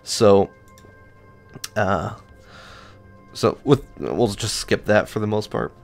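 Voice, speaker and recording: Guy with a lowish voice going over game stats, rambly and offhand, lots of uhs. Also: expressive, takes it slowly, creaky, soft background music. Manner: rambling, many fillers and restarts, offhand. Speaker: gaming channel host. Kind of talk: casual gameplay stats walkthrough.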